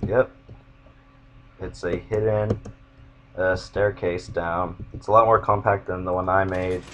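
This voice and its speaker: A young man with a monotone voice, casually rambling as he walks viewers through his game builds. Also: deep voice, a little slow, highs cut off, background noise. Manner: rambling, self-interrupting. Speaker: gamer showing his build.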